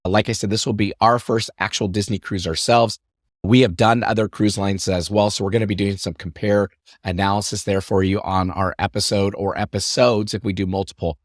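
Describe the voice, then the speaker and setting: A podcast host talks in a chatty, upbeat way and wanders into asides. His voice is on the low side and rough from a lingering cough. He speaks close into a headset, and the sound is dry. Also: little pitch movement, a little fast, no room sound. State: voice rough and lowered after a recent illness and cough. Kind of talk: casual podcast monologue.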